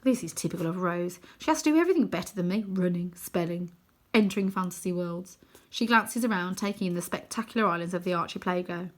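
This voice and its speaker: A woman reading a children's story aloud as expressive scripted narration. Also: lowish voice, fast talker. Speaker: storybook reader.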